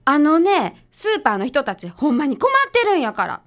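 Detective's assistant female actor: Kansai dialect, spirited and casual, high voice, radio drama. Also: huge pitch swings, moderate pace, a bit bright, heavily acted. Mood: indignant. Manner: blunt, casual.

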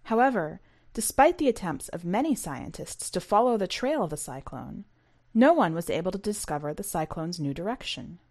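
A woman's voice: on the low side, very animated, moderate pace, a little mellow. A woman hosting a fiction podcast, speaking in a scripted, read-aloud presenting and narrating style. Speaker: podcast host and story reader.

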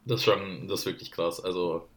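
A man co-hosting a casual podcast chat, speaking haltingly with many fillers and dry teasing. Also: low voice, steady tone, a bit bright, a little hiss or hum. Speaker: podcast co-host. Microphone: separate remote recording.

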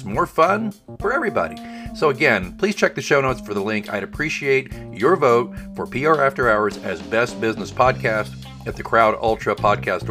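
A middle-aged man hosting a business podcast, making earnest, friendly appeals to listeners and introducing his guest. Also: mid-range voice. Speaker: podcast host. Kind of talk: podcast host talk.

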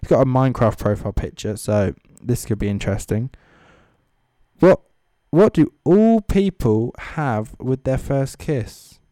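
A man's voice: medium pitch, lively intonation, measured pace, dark tone, dry recording. A man giving casual, off-the-cuff comedic commentary as a host, with sarcastic, mocking asides. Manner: sweary. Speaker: comedy host reading social media comments.

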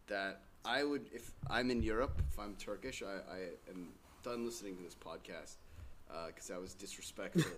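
A young man with a low voice co-hosts a casual sports-betting podcast. He talks in a relaxed way and teases his friends dryly, with a faint hum of noise behind him. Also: normal speed. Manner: dry teasing, relaxed. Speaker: podcast co-host.

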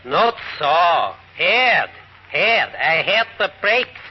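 An actor playing a flustered middle-aged Viennese porter with a German accent, who speaks halting broken English full of hesitant fillers. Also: male voice, sits high, very animated, dull audio, exaggerated voice. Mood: excited. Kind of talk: 1940s radio acting. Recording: hissy old radio broadcast recording.

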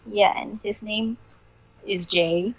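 A woman's voice: medium pitch, steady tone, takes it slowly, dry recording. Young woman chatting casually, rambling. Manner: rambling, restarts often, laughs between thoughts. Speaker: podcast co-host. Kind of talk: casual chat. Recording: lo-fi home recording, rough sound.

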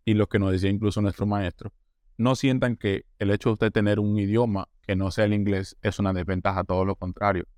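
A man's voice: fairly low pitch, flat delivery, a little fast, darkish tone, dry recording, clean studio sound. A Colombian man talking at length in an interview, often restarting words and acting out what others said. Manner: talkative, restarts words, acts out quoted voices. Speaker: interview guest, newcomer. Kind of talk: casual interview.

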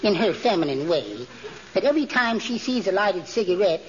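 An actor playing a mild, timid, henpecked husband with polite, deferential delivery in a 1940s radio comedy. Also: male voice, high voice, very animated, brisk, crowd noise. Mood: sheepish, polite. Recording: dull 1940s radio recording, audience behind.

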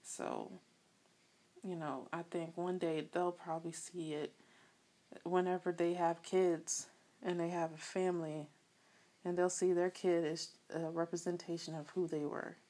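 A woman hosting a solo podcast, talking casually in a soft voice, rambling a bit and chatting straight to her listeners. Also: lowish voice, fairly even intonation, measured pace. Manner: rambling, laughs often, addresses listeners as y'all. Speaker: podcast host.

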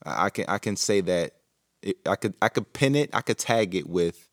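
Deep-voiced man musing alone on mic. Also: expressive, moderate pace, dry recording, studio quality. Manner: rambling, reflective, many fillers and restarts. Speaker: podcast host. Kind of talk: casual solo monologue.

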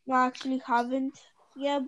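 A woman's voice: sits high, flat delivery, brisk, dry recording. A young woman from Bulgaria chatting casually over her phone microphone, hesitant and repeating her words. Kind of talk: casual chat.

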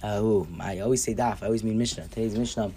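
A hoarse young man hosting an upbeat daily Torah lesson podcast, cheerful and stumbling now and then over Hebrew terms. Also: on the low side, steady tone, quick pace, warm tone, slight background noise. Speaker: podcast host teaching Mishnah.

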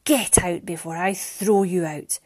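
A woman voicing an enraged, grieving father in a storybook, growling and booming his accusations. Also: a little slow, slightly rough. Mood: anguished, furious. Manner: forceful, accusing.

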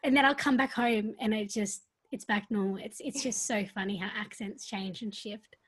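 An Australian woman from the countryside hosting an interview, speaking softly and reflectively. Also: medium pitch, fairly even intonation, normal speed, slightly rough. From rural Australia. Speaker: podcast host. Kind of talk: casual interview chat.

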